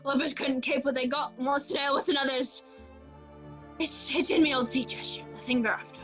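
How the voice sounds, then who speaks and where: A woman playing a rough, fearful old male sea captain who craves rum, in a radio play with sailor dialect. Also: high voice, fairly even intonation, fairly quick, a bit bright, soft background music. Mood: agitated, fearful.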